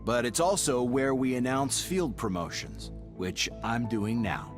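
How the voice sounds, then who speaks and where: A man's voice: mid-range voice, a little slow, lightly acted. A man playing a dry, wisecracking intelligence officer of a tactical squad in a video game. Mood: wry, friendly. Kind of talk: scripted game dialogue. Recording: music behind the voice.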